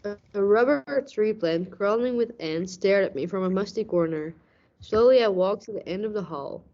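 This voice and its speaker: A woman's voice: medium pitch, warm tone, close to an everyday voice. A woman playing a brooding, hard-boiled police detective who narrates a radio mystery dramatically. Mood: tense, suspenseful. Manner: dramatic, deliberate storytelling. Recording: dull lo-fi audio.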